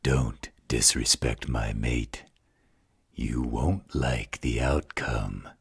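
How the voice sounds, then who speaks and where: A man voicing a menacing, protective mate in an audio roleplay, with a rough, husky voice and a blunt manner. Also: very deep voice, fairly even intonation, drawn-out words, no echo, studio quality, heavily acted. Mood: threatening.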